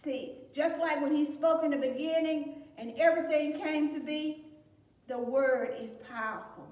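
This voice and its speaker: A woman's voice: high voice, moderate pace, a little mellow. An older woman teaching a Sunday school lesson, reading scripture and explaining it in an earnest, emphatic way, recorded from a distance in a plain room. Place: plain room against a beige wall. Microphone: fixed camera at a distance. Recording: echoey room, voice from far off.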